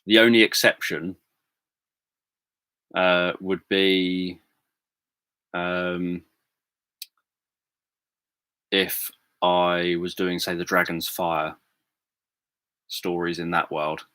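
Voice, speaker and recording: A man with a very low voice talks softly and hesitantly to his viewers, often pausing on "um" and starting over. He is recorded close up in a dry room with no echo. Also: little pitch movement, clean tone. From England. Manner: hesitant, many fillers and restarts. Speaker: livestream host. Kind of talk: casual livestream chat answering viewers. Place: home room.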